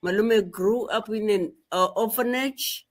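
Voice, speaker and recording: A middle-aged woman from rural Zimbabwe, a guest telling her story in a slow, measured way and often repeating phrases. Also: mid-range voice. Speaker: guest activist. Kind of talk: interview storytelling.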